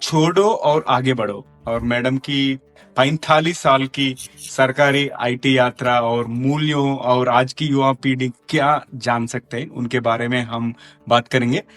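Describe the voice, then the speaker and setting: A man's voice: mid-range voice, fairly even intonation, a little slow, fairly bright tone, faint music behind. A man hosting an interview podcast, effusive and respectful, addressing his guest formally. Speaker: podcast host.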